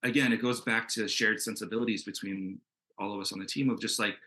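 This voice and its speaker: A man with a bright, forward voice talks as a creator on a panel. He is enthusiastic and conversational, wandering through his thoughts in an informal way. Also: on the low side, fairly even intonation, quick pace. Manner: rambling, enthusiastic, peppered with "like" and "you know". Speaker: comic book creator answering questions in a panel. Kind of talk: casual, conversational panel discussion.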